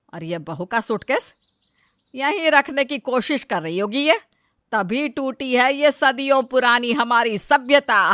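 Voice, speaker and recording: A woman's voice: on the high side, sharp tone, no echo, studio quality, full character voice. A woman playing a bossy, sentimental middle-aged mother-in-law in a comic family story, scolding with dramatic sarcasm. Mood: angry, accusing.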